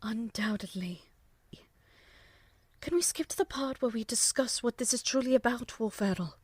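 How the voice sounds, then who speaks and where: A woman playing a gentle, patient young wife who speaks softly, often in a whisper. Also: fairly high pitch, normal speed, dry recording. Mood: calm whisper. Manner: soft, often whispered, breathy.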